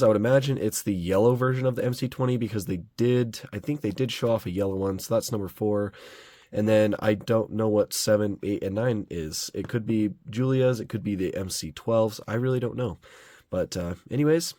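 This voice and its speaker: A young man talks through a model car review in a relaxed, rambling way, filling pauses with "um" and "like." His voice sits a little low and has a slight rasp from a cold. The recording is dry and close, with no room sound. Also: no echo. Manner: relaxed, chatty, rambling with fillers. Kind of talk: casual product review.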